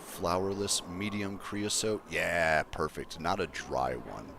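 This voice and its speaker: A man with a deep voice casually chatting to his viewers as he builds in a game livestream, thinking aloud in an easygoing way. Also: slow pace, gravelly, background noise. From Colorado, USA. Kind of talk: casual gameplay livestream chat.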